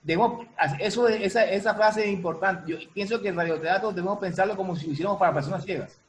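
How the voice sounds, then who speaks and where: A woman's voice: mid-range voice, fast. A woman in a casual panel discussion, speaking as a dance teacher and repeating phrases for emphasis. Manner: emphatic, repeats phrases, checks listeners follow. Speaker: dance teacher and theater artist. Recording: noisy room sound with ambience.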